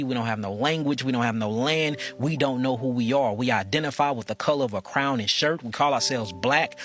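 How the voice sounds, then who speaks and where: A man's voice: medium pitch, a bit bright. A man giving an impassioned, preachy monologue, repeating his phrases insistently. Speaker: speaker.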